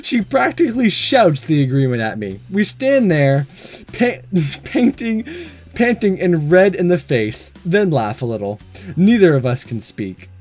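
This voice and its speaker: A man with a fairly high, very animated voice reads through a visual novel as a let's-play. He is playful and excitable, joking at his own expense, and music plays softly under him. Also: unhurried. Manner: playful, excitable, self-deprecating. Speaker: gaming video host.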